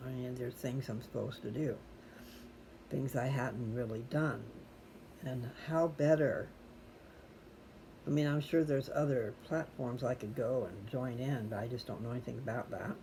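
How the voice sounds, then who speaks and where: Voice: medium pitch, normal speed, vocal fry, warm tone, a little hiss or hum. A chatty, folksy host talking casually and digressively to viewers. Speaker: host reading scripture aloud for viewers. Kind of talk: casual rambling personal talk to viewers.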